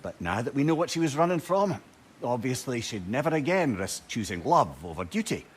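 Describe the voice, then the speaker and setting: A man's voice: mid-range voice, big pitch swings, a little fast, husky. An actor playing a witty, wistful old male advisor with a Scottish accent, telling stories lyrically and wryly in a video game. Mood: reflective. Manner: lyrical, wry, conversational. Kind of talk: storytelling video game dialogue. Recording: ambient noise behind voice.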